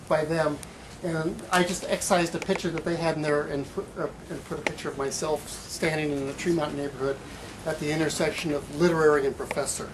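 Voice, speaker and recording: A man giving a live poetry reading in a bookstore, with halting, hesitant asides and deliberate delivery. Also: on the high side. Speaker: poet. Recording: light background room noise.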